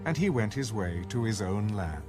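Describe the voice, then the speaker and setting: A man's voice: on the low side, lively intonation, normal speed. A man narrating scripture in a scripted audiobook style. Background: music.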